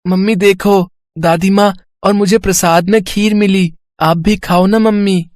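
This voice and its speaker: A woman's voice: mid-range voice, fairly even intonation, measured pace, a little mellow, no echo, studio quality, natural voice. A woman voicing an innocent little schoolboy grandson in a family story, simple and childlike. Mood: eager.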